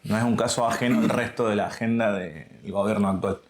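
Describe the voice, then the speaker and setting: A man's voice: fairly low pitch, fairly even intonation, fairly quick. An Argentine man speaking as an interview guest, explaining his points in an earnest, teacherly way. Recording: echoey room.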